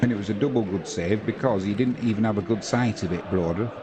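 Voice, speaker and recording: A lower-voiced English man calls a football match live, mixing play-by-play with easygoing chat aimed at his viewers. Clear background noise sits under his voice throughout the stream. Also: quick pace. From England. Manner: conversational play-by-play, reading out viewer comments. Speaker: watch-along commentator.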